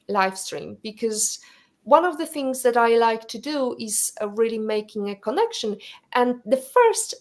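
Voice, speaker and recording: Slow, searching woman hosting a live stream. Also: fairly high pitch, gravelly. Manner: searching for words, many fillers. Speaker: host.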